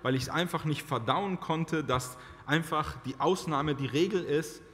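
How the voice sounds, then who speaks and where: A man in his thirties preaching a personal testimony sermon from a church pulpit, earnest and slowing down to stress key phrases. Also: a little high, fairly bright tone, slight background noise. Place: church sanctuary, large indoor hall. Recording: reverberant church hall.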